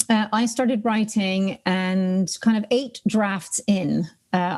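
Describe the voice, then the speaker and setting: A woman's voice: mid-range voice, mellow. A woman from the United States whose first language is Ukrainian, talking as a guest author over a video call in a chatty way, with many ums and restarts. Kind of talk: casual interview storytelling.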